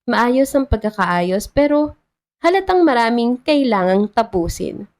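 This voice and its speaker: A woman's voice: fairly high pitch, sing-song, a little slow, a little mellow. A woman narrator reading a scripted romantic story.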